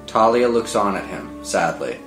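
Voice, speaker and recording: A man's voice: lowish voice, expressive, moderate pace, fairly bright tone. A man narrating screenplay stage directions as scripted narration, with film-score music behind him. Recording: echoey room with music under the voice.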